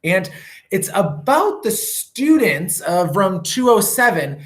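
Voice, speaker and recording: A man reading a storybook aloud for children, with theatrical emphasis and drawn-out words. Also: sits high, very animated.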